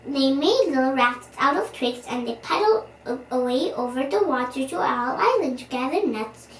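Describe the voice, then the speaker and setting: A high, lively child's voice reads a storybook aloud with playful expression. The sound is roomy and a little distant from the mic. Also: normal speed, a little hiss or hum. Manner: expressive, playful, speaking to young listeners. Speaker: story reader and host.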